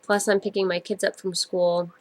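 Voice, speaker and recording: A woman in her thirties talks through her own podcast with a congested, stuffed-up nose. She rambles casually, trailing into ums and correcting herself as she goes, at an ordinary middle pitch. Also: level tone, moderate pace, slight background noise, low-quality recording. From United States. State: stuffed up with a cold. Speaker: podcast host. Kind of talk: casual solo podcast chat.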